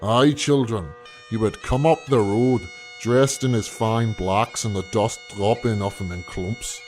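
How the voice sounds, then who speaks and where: A man's voice: on the low side, a little slow, a little mellow, faint music behind, lightly acted. A man voicing a rustic village storyteller in an audio story, solemnly telling an old folk legend in archaic phrasing. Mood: ominous, solemn.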